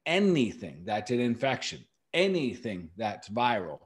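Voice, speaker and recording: Middle-aged man, podcast host, emphatic, repeats words for stress, casual explainer, mid-pitched. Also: big pitch swings, a little slow. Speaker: natural medicine practitioner and podcast host.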